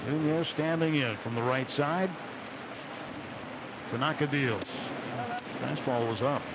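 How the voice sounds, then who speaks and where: A man's voice: medium pitch, slow pace. Man, American accent, baseball play-by-play, live radio commentary, sponsor reads, muffled broadcast sound, noisy ballpark behind. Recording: dull radio broadcast with loud stadium noise. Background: stadium ambience.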